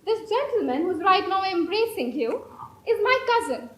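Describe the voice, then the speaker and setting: A woman's voice: very high-pitched, sing-song, normal speed, fairly bright tone, heavily acted. A young woman playing a haughty, prim Victorian society lady in a stage play, speaking formally and declaiming with indignation. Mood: formal, composed. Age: young adult. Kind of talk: amateur stage play performance. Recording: echoey stage hall recording.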